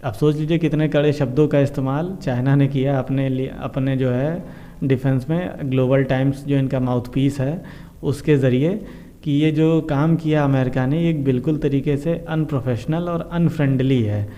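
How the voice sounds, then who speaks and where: Very dark-voiced Indian man explaining news. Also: medium pitch, fairly even intonation, moderate pace. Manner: explanatory, repetitive, mixing in English terms. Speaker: host of a current affairs explainer show. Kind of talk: informal news explainer commentary addressed to viewers. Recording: dull, muffled lo-fi sound.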